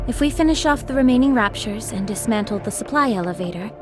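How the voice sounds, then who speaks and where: An actress playing a composed, weary young female squad leader in a video game, speaking in a measured, formal way. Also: a little high, lively intonation, natural voice. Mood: businesslike. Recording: background music under voice.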